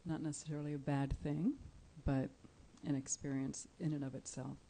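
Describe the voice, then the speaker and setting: A woman from Cuba, a Spanish speaker, giving a live poetry reading, measured and deliberate with soft pauses. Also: low-pitched, flat delivery, a little hiss or hum, rough recording. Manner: measured, deliberate, pausing often with soft fillers. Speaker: featured poet. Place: indoor live reading event.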